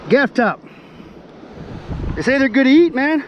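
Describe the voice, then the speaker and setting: A middle-aged man chatting casually and excitedly in slang for an outdoor fishing vlog, recorded outdoors on an action camera. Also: extremely high pitch, unhurried, lo-fi sound, a little hiss or hum. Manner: excitable, slangy, calls people bro and baby. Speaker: fishing vlogger. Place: outdoors on a surf beach. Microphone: body or handheld action camera.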